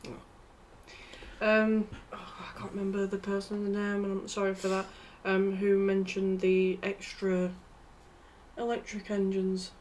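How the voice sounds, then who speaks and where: A woman co-hosting a building tutorial, chatting in a relaxed, teasing way and giggling often. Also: medium pitch, a little slow, slight background noise, rough recording. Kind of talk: casual chat.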